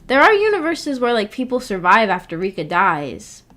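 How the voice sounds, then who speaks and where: A woman's voice: fairly high pitch, wildly swooping pitch, moderate pace, fairly bright tone. A woman hosting a livestream in a casual, rambling way, hesitant as she thinks aloud. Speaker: livestream host.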